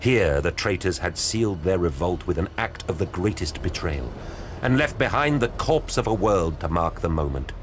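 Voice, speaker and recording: A man's voice: deep voice, expressive, normal speed, a little hiss or hum. A man giving solemn, theatrical scripted narration for a war audio drama.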